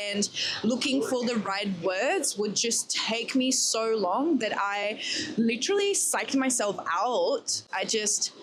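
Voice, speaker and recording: A young woman with a Czech accent talks casually to her viewers at a middling pitch. She laughs often and turns to them with quick asides. Clear background noise runs under her voice. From Czech Republic. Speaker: vlogger. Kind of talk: casual Q&A vlog. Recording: noisy background ambience.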